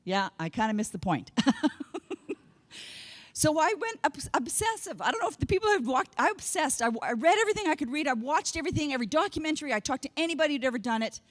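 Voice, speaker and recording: A woman's voice: high-pitched, very animated, fast talker. A woman in her fifties, from Canada, giving a comedic keynote talk, self-mocking and quoting herself and her family in voices. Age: 50s. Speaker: keynote speaker. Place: conference hall stage.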